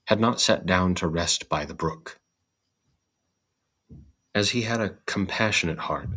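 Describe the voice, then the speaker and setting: Slow, very deep, husky male storyteller. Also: fairly bright tone. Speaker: host and story reader. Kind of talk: scripted storybook narration.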